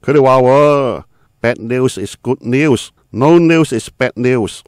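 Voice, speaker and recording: A man's voice: mid-range voice, takes it slowly, darkish tone, no room sound, natural voice. An actor playing an opinionated, exclamatory male teahouse customer with a Hong Kong accent in a radio drama. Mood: knowing.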